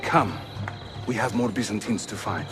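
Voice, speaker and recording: A man's voice: fairly low pitch, moderate pace, close to an everyday voice. A man with a Turkish accent, playing a wry, spirited and loyal Ottoman assassin leader in a video game. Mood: brisk, knowing. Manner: animated, wry. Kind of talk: cinematic game acting. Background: music.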